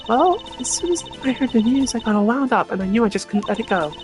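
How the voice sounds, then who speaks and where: Lady doing a peppy earnest teen girl thief for a game, high voice, music clearly playing behind. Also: quick pace, lightly acted. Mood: earnest, pleading. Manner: perky, earnest, expressive. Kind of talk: voiced reading of video game dialogue.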